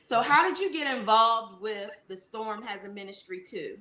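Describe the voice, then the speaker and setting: A woman's voice: on the high side, animated, normal speed, a crowd in the background. An exuberant woman hosting and interviewing on a live show, often repeating phrases for emphasis. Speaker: host of a live web show. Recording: roomy echo.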